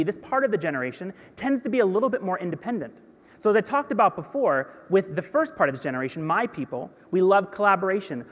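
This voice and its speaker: A man in his thirties speaks from a large auditorium stage into a headset mic, his slightly high voice carrying a long hall reverb. He delivers a business keynote in an energetic, conversational way, dropping in self-deprecating asides. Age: 30s.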